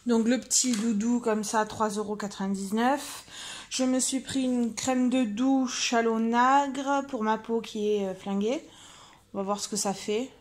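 A woman's voice: fairly high pitch, fairly even intonation, a little slow, darkish tone. A woman, chatting informally and thinking aloud as she shows her shopping in a casual vlog. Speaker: vlogger. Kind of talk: casual vlog chat, showing purchases. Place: car interior, later a room at home.

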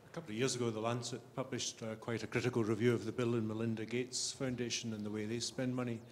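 A man in the audience asking a measured question at a public lecture. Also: medium pitch, flat delivery. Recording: long hall reverb, faint hiss.

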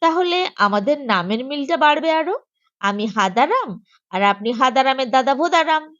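Woman voicing an eager, high-pitched cheeky schoolboy. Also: lively intonation, moderate pace, a bit bright, highs cut off. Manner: eager, impudent.

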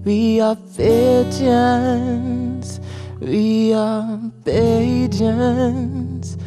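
A young Barbadian man, a talent show contestant who sings to his own acoustic guitar on a studio stage and speaks softly and earnestly about his song. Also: extremely high pitch, level tone, extremely slow. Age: young adult. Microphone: stand mic. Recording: acoustic guitar behind the singing.

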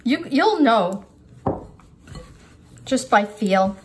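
A woman's voice: fairly high pitch, expressive, drawn-out words. An older woman giving a casual, unscripted cooking demonstration, talking through each step as she works. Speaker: cooking instructor. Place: home-style kitchen, indoors at a counter. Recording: clear kitchen clatter and background noise.

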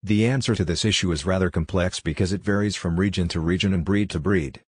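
Give me a deep-voiced man with a husky tone reading a scripted farming lesson. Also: fast, dry recording, studio quality. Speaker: narrator. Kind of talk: scripted voice-over narration.

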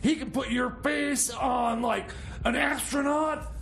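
A man's voice: extremely high pitch, fairly even intonation, slow pace, fairly bright tone, shouting, full character voice. A man playing a pushy, scheming comic sidekick mechanic in radio comedy banter, stammering, nagging and repeating himself. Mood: excited scheming. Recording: clear background noise.

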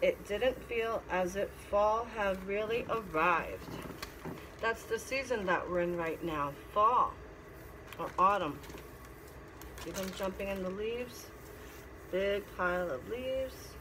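A middle-aged woman reads a picture book aloud as a teacher, pausing to explain and ask simple questions. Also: mid-range voice. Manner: instructive, asking simple questions. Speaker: teacher reading to children. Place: classroom. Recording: clear background noise.

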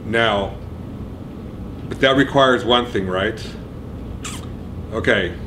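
A middle-aged man giving an energetic orientation speech in a university lecture room, often checking in with "okay?" and "right?". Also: on the low side, slow, noisy background. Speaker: program administrator.

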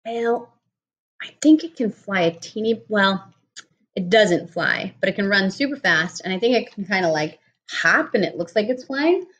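A woman's voice: mid-range voice, animated, normal speed, fairly bright tone. A woman in her thirties reads a picture book aloud to a young child, stopping to explain each picture patiently in simple words. She sits at a home kitchen table, and a webcam a little way off picks up her voice. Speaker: speech therapist reading to a child. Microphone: laptop or webcam at table distance.

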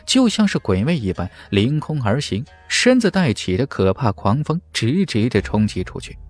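A man reading a fantasy novel as dramatic scripted narration, with theatrical, emphatic storytelling. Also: mid-range voice. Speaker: audiobook narrator. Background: background music.

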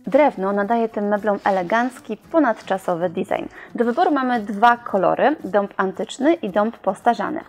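A young woman presenting and reviewing furniture in a showroom, in a clear, explanatory scripted delivery. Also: mid-range voice, animated, moderate pace, hardly any pauses, a little mellow, faint music behind.

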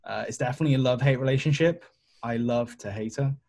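A man in his thirties with an average-pitched voice talks casually in character at a tabletop game. His delivery is cocky and teasing. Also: quick pace, gravelly, darkish tone. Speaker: player. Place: indoors at home, online video call.

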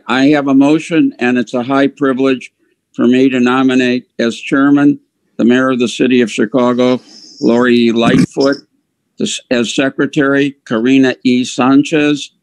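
Man, mid-pitched voice, courteous commissioner, ceremonial motion, dry sound. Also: no room sound, low-quality recording. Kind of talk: formal motion.

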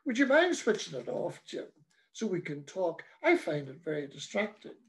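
A man's voice: high voice, sing-song, moderate pace. A man voicing a cheery, pushy, middle-aged parish priest who chats on breezily, heedless of the people around him. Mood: breezy. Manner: chatty, breezy, oblivious.

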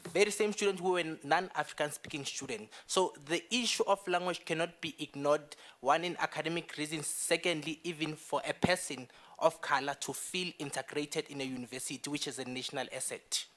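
A man's voice: a little high, fairly even intonation, a little fast, hardly any pauses, fairly bright tone. A young man whose first language is Zulu, answering earnestly in a university debate at a desk microphone. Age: young adult. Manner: earnest, gesturing while arguing. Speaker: student panelist. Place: university meeting room.

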